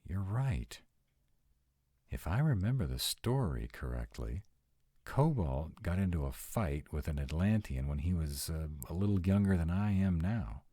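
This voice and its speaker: A man's voice: low-pitched, animated, a little slow, warm tone, no room sound, studio quality. A man playing a sarcastic, anxious vampire of nearly two hundred in an intimate, conversational roleplay audio. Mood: sardonic, musing. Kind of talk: intimate one-sided roleplay audio acting.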